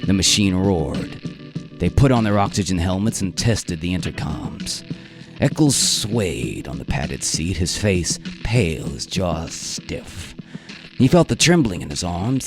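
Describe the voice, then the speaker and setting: A man narrating a radio drama story in a deliberate, theatrical way with weighted pauses. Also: low voice, low-quality recording. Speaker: narrator and performer.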